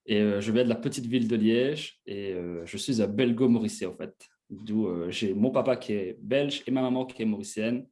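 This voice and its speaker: A man's voice: fairly low pitch, moderate pace, few pauses, warm tone. A man in his twenties from Belgium, casually telling his own story as an interview guest. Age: 20s.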